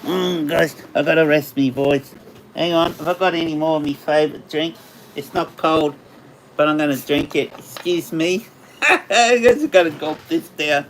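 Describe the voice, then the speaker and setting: An Australian man hosting a casual livestream, chatting with viewers in a rambling way, his voice slightly hoarse after hours of talking. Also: fairly high pitch, moderate pace, a little hiss or hum, low-quality recording. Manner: rambling, chatty, laughs often. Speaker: livestream host. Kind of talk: casual live chat with viewers.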